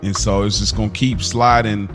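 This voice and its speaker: A man's voice: fairly low pitch, level tone, normal speed, over music. A man co-hosting a podcast, chatting casually and full of slang. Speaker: podcast co-host.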